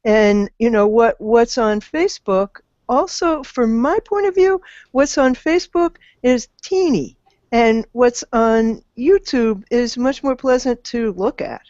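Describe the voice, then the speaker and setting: A woman's voice: fairly high pitch, takes it slowly, darkish tone, no room sound. An older woman giving her opinion in a casual panel discussion over a video call. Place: indoor room, video call. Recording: dull lo-fi video-call audio.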